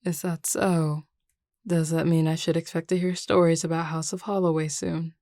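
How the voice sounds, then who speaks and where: A woman voicing a composed, teasing and reassuring werewolf pack leader in an audio roleplay, measured, assured and dryly wry. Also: low voice, flat delivery, dark tone, no room sound, clean studio sound. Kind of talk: intimate audio roleplay acting.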